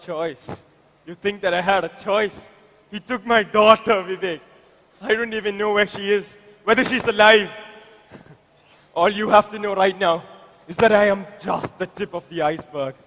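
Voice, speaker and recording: A man plays a compromised police officer backed into a corner. The voice is very high and defiant, delivered as stage drama. Long reverb from a hall trails every phrase. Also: steady tone, moderate pace, pauses often, a bit bright. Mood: anguished, pleading. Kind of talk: amateur stage drama dialogue.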